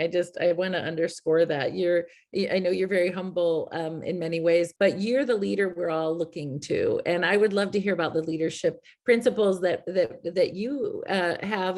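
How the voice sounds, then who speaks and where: A woman's voice: lowish voice, gravelly, a little mellow. A woman hosting a podcast interview, asking questions with restarts and giving frequent encouraging affirmations. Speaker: podcast host.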